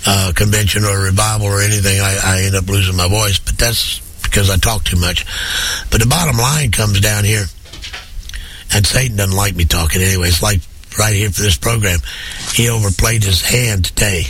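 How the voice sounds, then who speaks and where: A man preaching fervently on a program while hoarse with a head cold, building his points through emphatic, rising repetition. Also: fairly low pitch, steady tone, fairly quick, a bit bright. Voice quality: husky.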